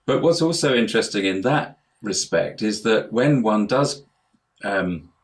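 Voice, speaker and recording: A man's voice: on the low side, lively intonation, measured pace. A man talking as an interview guest over an online call, explaining his ideas in a hesitant, digressive way, often repeating words. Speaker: interview guest, house healer and life coach.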